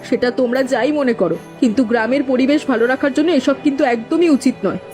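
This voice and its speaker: A spiteful village housewife from a cartoon, acted by a woman. She talks fast in a high voice, scolding and accusing with a hot temper. Faint music plays behind her. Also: natural voice. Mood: indignant gossip. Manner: sharp-tongued, scolding, accusing. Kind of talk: cartoon voice acting.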